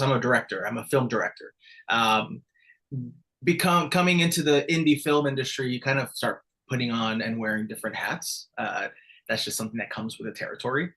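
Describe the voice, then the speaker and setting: Texas-raised director guy in his 40s, earnest, bit halting, ordinary mid voice. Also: mid-range voice, fairly bright tone. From El Paso, Texas. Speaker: guest film director. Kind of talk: interview answers.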